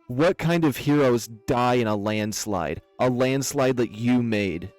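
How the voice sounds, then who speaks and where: A man's voice: medium pitch, expressive, normal speed, a little mellow, no echo, faint music behind, voice pushed down, close to an everyday voice. A man voicing a huge barbaric lioness warrior in a visual novel, venomous, taunting and snarling. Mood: furious.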